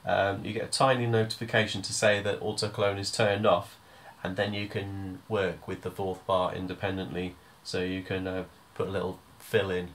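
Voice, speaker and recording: A man giving a hands-on music software tutorial, explaining step by step in an informal, hesitant way. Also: deep voice, flat delivery, normal speed, few pauses, a little hiss or hum.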